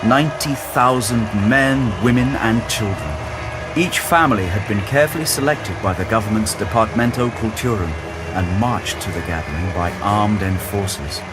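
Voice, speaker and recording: A man narrating a scripted audio drama in a measured, solemn, dramatic storytelling style. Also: on the low side, over music, low-quality recording.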